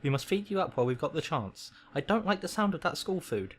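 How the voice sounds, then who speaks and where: A man's voice: fairly high pitch, sing-song, quick pace, slight background noise, voice pushed up. A man voicing a doting, fussy middle-aged aunt from a storybook, cooing and fretting.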